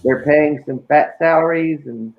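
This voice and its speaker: A man with a raspy voice, chatting casually as a guest. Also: on the high side, a little slow, dull audio. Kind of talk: casual chat.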